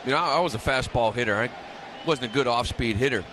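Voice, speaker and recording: Guy doing baseball color commentary, relaxed chat, normal pitch, a bit of ballpark noise. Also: quick pace. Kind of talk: conversational baseball analysis. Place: ballpark broadcast booth.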